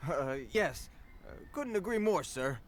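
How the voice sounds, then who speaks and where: A man's voice: high voice, very animated, unhurried. A man voicing a bandit gang leader in a video game, with a folksy tough-guy way of talking. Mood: nervous, deferential. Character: swaggering male bandit gang leader, easily cowed.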